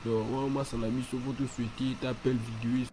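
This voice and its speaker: A man's voice: medium pitch, level tone, fast talker, noisy background, lightly acted. A man with a Congolese accent playing a chatty, streetwise cartoon character. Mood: insistent. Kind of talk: animated cartoon dialogue in Lingala and French.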